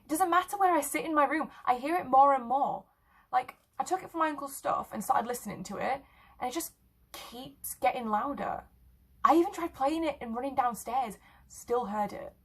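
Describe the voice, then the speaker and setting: A woman voicing an excitable, uneasy teenage girl in a short horror story, with hesitant, casual slangy speech. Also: sits high, moderate pace, pitched above the performer's own voice. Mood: anxious, urgent.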